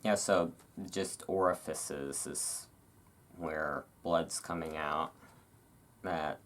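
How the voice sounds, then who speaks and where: Low, unhurried voice reading aloud. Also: steady tone, a bit bright. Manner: informal, chatty, musing asides between read passages. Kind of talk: relaxed ASMR-style reading of vampire history with casual asides.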